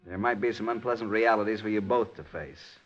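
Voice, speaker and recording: An actor in a 1950s radio drama playing a sober, sympathetic handler, speaking in an earnest, businesslike way. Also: male voice, on the low side, brisk, natural voice. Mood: grave, sympathetic. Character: sober, sympathetic male handler briefing his agent. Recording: dull lo-fi old radio recording.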